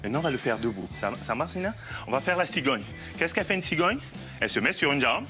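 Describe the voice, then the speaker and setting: Male TV fitness coach, 30s, lively swings in pitch, encouraging and playful, music behind. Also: quick pace. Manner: physically active while talking. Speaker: fitness coach on a TV parenting show. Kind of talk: demonstrating exercises to a mother and young child. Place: living-room style TV studio.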